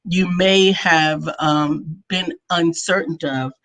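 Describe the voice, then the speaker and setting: A woman's voice: low voice, fairly even intonation, takes it slowly, a bit bright. A woman in her fifties with an American accent, talking casually as the co-host of a talk show. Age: 50s.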